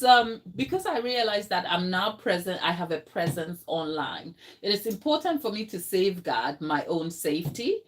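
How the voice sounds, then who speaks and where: A Ghanaian woman chatting casually in her home kitchen, telling a story with lots of fillers and asides to her viewers. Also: fairly low pitch. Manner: chatty storytelling, many fillers, talks to live chat. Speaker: home baker and channel host. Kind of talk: casual chat while working in the kitchen.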